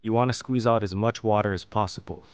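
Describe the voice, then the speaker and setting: A man narrating a fish-cutting and plating tutorial as host, explaining each step calmly. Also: on the low side, quick pace, smooth. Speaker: fishing and cooking channel host. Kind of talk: calm instructional voice-over.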